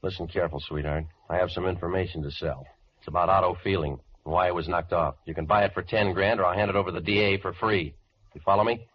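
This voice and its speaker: Menacing wisecracking blackmailer, husky, clipped slang, dull vintage radio drama sound. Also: male voice, low-pitched, steady tone, quick pace. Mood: coolly threatening. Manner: clipped, slangy tough talk. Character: cocky, hard-boiled male blackmailer, smooth-threatening and wisecracking. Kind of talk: 1940s radio crime drama acting. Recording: muffled vintage 1940s radio recording.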